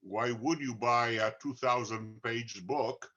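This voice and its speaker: A man with an Italian accent, a book co-author, talking slowly and deliberately with many pauses. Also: medium pitch, sharp tone, highs cut off. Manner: slow, deliberate, frequent "uh" pauses. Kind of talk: conversational talk.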